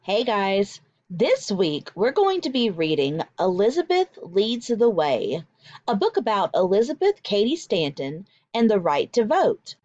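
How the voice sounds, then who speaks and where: A woman teacher reading aloud to children in an expressive, deliberate, emphatic way. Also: mid-range voice, no echo, lo-fi sound. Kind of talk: expressive children's read-aloud with vocabulary explanations.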